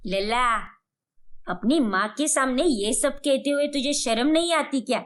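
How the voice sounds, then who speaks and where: A woman's voice: high voice, a little slow. A woman voicing a scheming, bossy middle-aged mother-in-law in a colloquial, assertive way for a cartoon story. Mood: stern.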